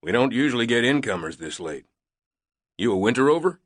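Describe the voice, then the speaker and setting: A male narrator playing a glum, gruff airplane loadmaster who talks tersely. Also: mid-range voice, big pitch swings, a little fast, no room sound, clean studio sound, voice pushed up. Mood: laconic. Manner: terse, laconic.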